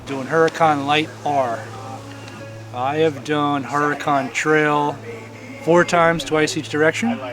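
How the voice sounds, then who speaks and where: A man from Louisiana, a bikepacking racer giving brisk, factual interview answers. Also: on the high side, steady tone, music in the background.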